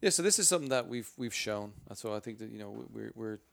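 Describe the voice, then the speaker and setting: A man with a booming voice answering interview questions as a spokesperson, energetic and rambling with many restarts. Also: quick pace, creaky. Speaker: game franchise spokesperson.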